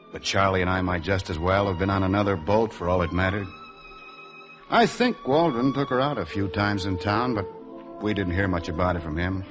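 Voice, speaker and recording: Man acting wry reflective guy narrating old 50s radio play, deep voice, music behind. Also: close to an everyday voice. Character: Mac, easygoing, reflective man recalling a summer acquaintance. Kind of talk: 1950s radio drama narration. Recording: 1950s radio broadcast with music underneath.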